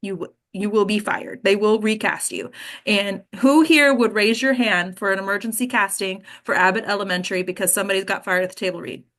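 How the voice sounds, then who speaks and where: A woman's voice: mid-range voice, a little fast, a bit bright. A woman hosting and coaching actors in an informal talk, frank and addressing her listeners directly. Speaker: host and acting coach.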